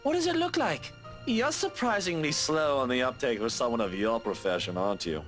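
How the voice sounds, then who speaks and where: An actor playing a haughty, teasing English gentleman in a visual novel, sarcastic and condescending. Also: medium pitch, sing-song, a little fast. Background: game music.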